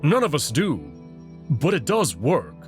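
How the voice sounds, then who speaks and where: Man voicing an awkward, halting alien wolf. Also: on the high side, wildly swooping pitch, soft background music. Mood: evasive, awkward. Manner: hesitant, halting.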